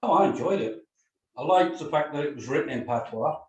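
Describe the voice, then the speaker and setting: A man's voice: a little high, animated, a little fast, highs cut off. A middle-aged or older man giving casual feedback as a reader in a group discussion, heard with room echo and some distance from the mic.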